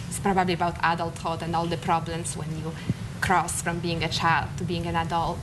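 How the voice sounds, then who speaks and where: A woman's voice: on the low side, brisk, noisy background. Woman guest musician. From Poland. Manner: explains calmly, slightly non-native phrasing. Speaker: pianist, guest. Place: TV studio.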